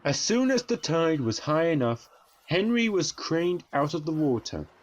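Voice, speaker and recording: A man's voice: a little high, sing-song, measured pace. A man narrating a children's railway cartoon as a scripted storybook tale.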